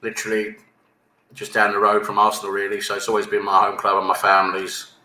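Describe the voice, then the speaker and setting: A man's voice: fairly low pitch, completely flat delivery, fast talker, pushed and bright. A man from England, a panel guest in a casual chat, who keeps ending his points with tag questions. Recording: echoey room with faint ambience.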